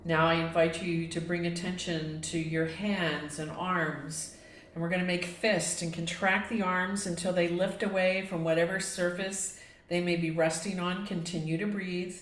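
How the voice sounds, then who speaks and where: A woman with a slightly low voice guides a meditation in an echoey room. She speaks as a yoga instructor, gently and in a measured way, inviting listeners along with each instruction. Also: level tone, slight background noise.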